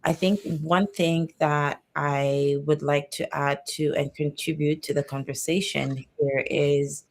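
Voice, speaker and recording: A woman from Cameroon who grew up in Canada talks on a video-call panel. Her voice sits very low and gravelly, with an even, narrow melody, and she picks her words reflectively. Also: slow, few pauses. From born in Cameroon, raised in Canada. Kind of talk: panel discussion.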